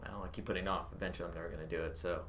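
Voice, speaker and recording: A man's voice: sits low, fairly even intonation, very fast, fairly bright tone, slight background noise. A man being interviewed about his game studio in a casual livestream Q&A, easygoing and rambling with fillers. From born in Vietnam, grew up in Arizona.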